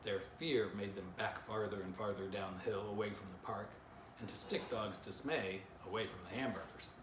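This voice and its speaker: A man's voice: lowish voice, steady tone, normal speed. A middle-aged man, a children's author, reading a story and giving an informal drawing lesson. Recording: roomy echo, clear background noise.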